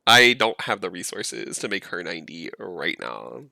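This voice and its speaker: A male game streamer talks casually and informally. His voice is lowish and creaky yet very sharp, picked up dry on a close microphone. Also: very animated, moderate pace, no room sound. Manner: informal, slangy.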